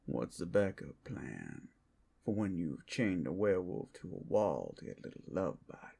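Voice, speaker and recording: Male actor as cursed captive werewolf for audio roleplay: gravelly and taunting, low-pitched, swinging dramatically between whispers and outbursts. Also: sing-song, normal speed, warm tone, exaggerated voice. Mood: menacing, taunting. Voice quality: gravelly, husky, often breaking into whispers. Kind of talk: ASMR audio roleplay acting.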